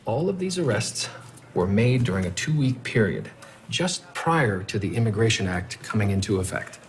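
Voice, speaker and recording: An actor playing a methodical male police detective who questions people in a measured, probing way. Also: lowish voice, big pitch swings, slight background noise. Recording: TV drama with music behind.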